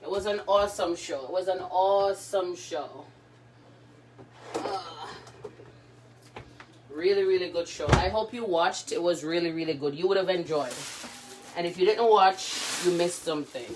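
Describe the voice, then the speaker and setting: A woman's voice: lowish voice, background noise. A woman hosting a casual cooking livestream from her home kitchen, chatting playfully with her viewers. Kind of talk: casual live cooking chat.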